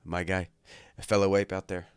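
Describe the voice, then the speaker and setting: Laid-back young man, slightly congested, low voice. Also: flat delivery, brisk, smooth, no echo, studio quality. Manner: laid-back, crude, joking banter with chat. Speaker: livestream host. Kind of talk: casual livestream chat. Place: his bedroom at home.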